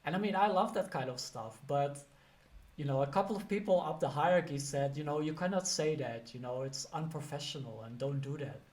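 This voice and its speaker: A man's voice: on the high side, brisk, darkish tone. A man with a Dutch accent giving casual career advice, talking loosely with lots of "you know" and "like". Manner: chatty, many fillers like "you know" and "like". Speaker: career coach. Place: lives and works in the UK.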